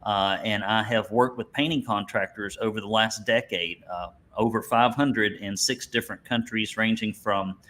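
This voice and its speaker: A man's voice: on the low side, fairly even intonation, normal speed, bright, forceful tone. A man with an Alabama Southern accent presents a webinar conversationally, in a folksy, joking way with colorful turns of phrase. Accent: Alabama Southern American. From Alabama, United States. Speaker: webinar instructor and business coach.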